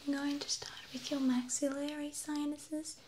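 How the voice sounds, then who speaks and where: A woman whispering gently and reassuringly, unhurried and breathy, as a medical examiner in an ASMR roleplay on a close binaural microphone. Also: high voice, little pitch movement. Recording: faint background noise, close-miked whisper.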